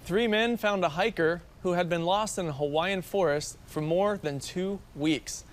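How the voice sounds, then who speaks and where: A man's voice: sits high, measured pace. A man with an American accent reading scripted television news as an anchor.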